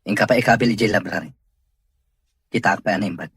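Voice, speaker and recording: An actor as a husky, irritable male boss. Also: lowish voice, a little fast, a bit bright, dry recording. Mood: wary, irritated. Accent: Ilocano. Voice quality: husky, rough. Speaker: radio drama actor. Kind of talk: Filipino radio drama dialogue.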